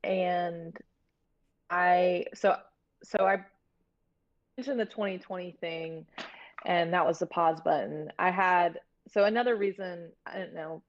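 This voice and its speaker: An American woman from Florida talking casually and candidly as an interview guest, in a halting storytelling way. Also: lowish voice, fairly even intonation. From Florida, United States. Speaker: guest, horror series producer. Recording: dull, lo-fi audio.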